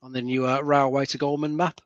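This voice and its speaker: A man's voice: medium pitch, little pitch movement, fairly quick, dry recording, highs cut off. A man co-hosting a casual live-stream chat, easygoing and chatty as he talks about what he has been doing. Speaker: co-host.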